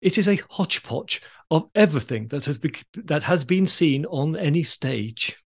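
A man's voice: a little high, lively intonation, moderate pace, breathy edge. British man hosting a conversational podcast. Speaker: podcast host. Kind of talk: conversational podcast talk with read quotations.

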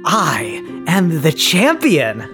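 A man's voice: a little high, wildly swooping pitch, extremely slow, over music, exaggerated voice. A man playing a childlike alien posing as a human, cheerfully literal and stiffly eager, in a dramatised fan fiction reading.

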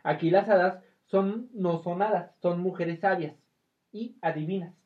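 A woman's voice: on the low side, a little slow. A woman with a Mexican accent chatting informally and playfully as a podcast host, retelling stories and trivia. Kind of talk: casual chat retelling film trivia and tales. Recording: dull, lo-fi audio.

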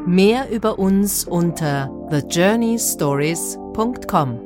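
Female show-intro voice, unhurried and deliberate, over clear guitar music. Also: on the low side, expressive. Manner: slow, deliberate. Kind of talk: scripted intro.

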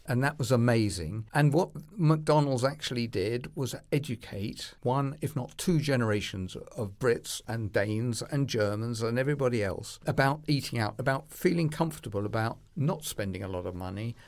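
A man's voice: medium pitch, normal speed, hardly any pauses. Assured man explaining. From United Kingdom. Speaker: industry expert guest. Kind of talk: business conversation.

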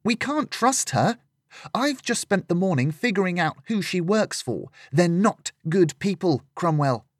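Lillian, a man voicing a sharp, impatient young woman. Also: sing-song, measured pace, dry recording, clean studio sound, heavily acted. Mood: distrustful. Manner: raised pitch, indignant outbursts.

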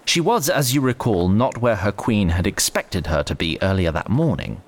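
A man's voice: on the low side, sing-song, normal speed. A man narrating a scripted fantasy story in a wry, literary manner.

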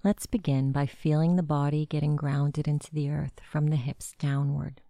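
A woman narrating a guided meditation with gentle, measured instructions. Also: low voice, level tone, gravelly, dry recording, clean studio sound.

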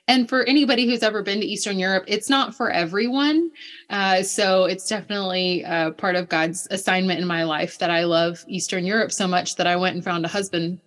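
A woman, a missionary guest telling her story in a lively, chatty way. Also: mid-range voice. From Alabama, US. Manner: lively, storytelling, quick asides.

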